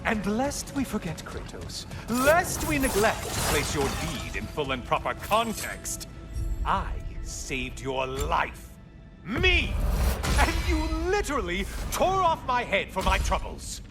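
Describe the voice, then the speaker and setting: An actor playing a mocking, vindictive spirit from Greek myth in a video game, theatrical and sarcastic. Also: male voice, high-pitched, very animated, takes it slowly, music in the background, exaggerated voice. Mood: gloating. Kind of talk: dramatic video game acting.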